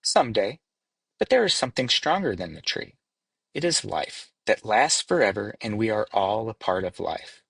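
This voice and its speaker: A man reading as a wise, husky, matter-of-fact leaf. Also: on the low side, big pitch swings, normal speed, fairly bright tone, dry recording, exaggerated voice. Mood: calm, reassuring. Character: wise, calm, reassuring male tree leaf. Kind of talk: storybook read-aloud.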